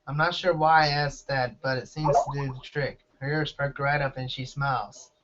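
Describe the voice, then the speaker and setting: A man's voice: medium pitch, fairly even intonation, moderate pace, very noisy. A man reading a first-person story aloud as an amateur, stumbling over words now and then. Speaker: amateur fan fiction reader. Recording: dull lo-fi home recording.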